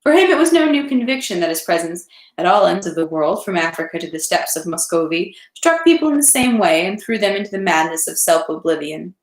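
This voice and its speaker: A woman's voice: on the low side, lively intonation, fairly quick, reverberant, rough recording. A woman reading a classic novel aloud in an unpolished amateur way, with occasional stumbles. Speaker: reader of a read-aloud series. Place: indoors at home, echoey room.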